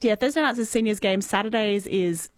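An Australian woman with a loud voice, reading a radio news bulletin and then chatting cheerfully in a studio. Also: mid-range voice, a little fast, no room sound. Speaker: newsreader. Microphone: studio microphone.

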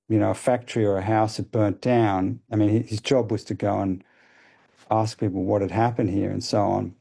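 A man explaining ideas as a radio guest, thoughtful and hesitant, with many pauses and fillers. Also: fairly low pitch, gravelly, dark tone, breathy edge. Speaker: guest expert.

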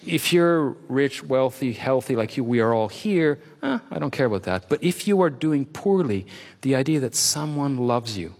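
A man's voice: mid-range voice, lively intonation, normal speed, a little mellow, in a large hall. Middle-aged man with a French first language. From Quebec, Canada. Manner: expansive, lists examples, repeats words for emphasis. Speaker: author guest. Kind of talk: reflective interview talk.

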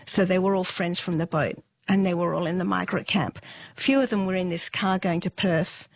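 A middle-aged woman, telling stories conversationally and matter-of-factly, with thoughtful pauses. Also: lowish voice, steady tone, dry recording. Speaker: interview guest. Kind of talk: conversational family storytelling.

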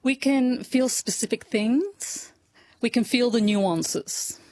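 A woman gives spoken voice to a deaf guest's signing, talking slowly and thoughtfully in the first person. The sound is close and dry, with no room around it. Her delivery is measured and unhurried. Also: a little high, a little mellow, dry recording. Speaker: Auslan interpreter voicing a deaf guest. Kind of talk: live spoken interpretation.

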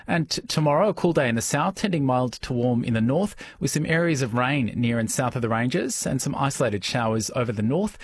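A man reading a radio news bulletin in a measured, formal manner. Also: medium pitch, husky. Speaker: newsreader.

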